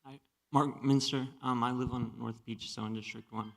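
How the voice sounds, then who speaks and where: Gravelly, bright-voiced man advocating. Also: medium pitch, fairly even intonation, moderate pace. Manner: earnest advocate, many ums, long run-on points. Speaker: resident giving public comment. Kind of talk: public comment at a community meeting.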